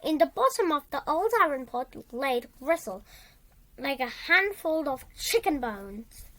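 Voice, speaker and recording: A woman reads a children's storybook aloud in a high voice with lively, swinging intonation. She takes her time and moves haltingly through the sentences. She often repeats or corrects a word. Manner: halting, repeats and corrects words while reading. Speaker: storybook reader.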